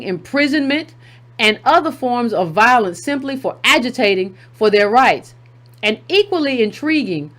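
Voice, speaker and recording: Emphatic woman reading aloud, fairly high voice. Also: a bit bright. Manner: emphatic, repeats key phrases for effect. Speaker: commentary channel host. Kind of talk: reading a text aloud with running commentary.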